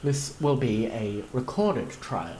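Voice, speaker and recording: A man's voice: mid-range voice, lively intonation, takes it slowly, dark tone, slight background noise. Man playing nervous, officious corporate rep. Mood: officious, defensive. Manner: anxious, officious, pleading. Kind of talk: audio drama acting.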